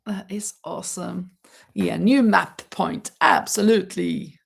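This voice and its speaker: A woman's voice: fairly low pitch, measured pace, gravelly, husky. A middle-aged Norwegian woman hosting a casual livestream from home, chatting and reading out viewer comments with frequent laughs and restarted words. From Norway. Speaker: livestream host. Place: home, near a terrace.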